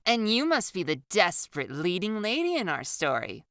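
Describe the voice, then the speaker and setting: A woman's voice: mid-range voice, wildly swooping pitch, normal speed, fairly bright tone, no room sound, studio quality. A woman voicing a playful, pleading girl in an audiobook, with a theatrical, teasing, slangy way of talking. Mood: mock-gallant. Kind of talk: audiobook character dialogue.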